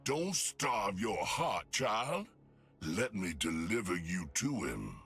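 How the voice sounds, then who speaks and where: A man's voice: lowish voice, sing-song, slow, a bit bright, slightly rough, faint music behind, full character voice. A man voicing grave, imperious video game champions in a theatrical, declamatory manner. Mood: solemn. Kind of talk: dramatic video game voice lines.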